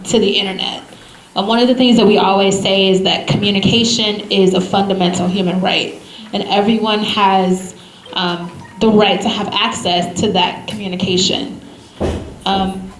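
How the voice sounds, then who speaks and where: A woman speaking on a community panel, measured and steady, with frequent ums. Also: medium pitch. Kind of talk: panel discussion. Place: public event hall. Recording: echoey room, faint noise.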